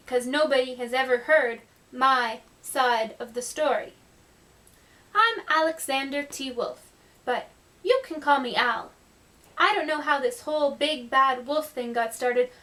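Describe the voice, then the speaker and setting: A woman's voice: high voice, a bit bright. A woman voicing an aggrieved, sly male wolf who tells his side in a chatty, self-justifying puppet show manner. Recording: distant microphone.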